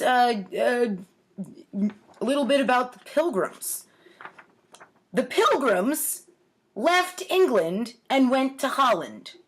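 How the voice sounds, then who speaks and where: A woman in her forties talking casually and playfully in a storytime vlog, with a wry, mock-earnest manner. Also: mid-range voice. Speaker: host of a storytime series. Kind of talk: casual comic storytime vlog, commenting on own childhood writings. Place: home kitchen, indoors.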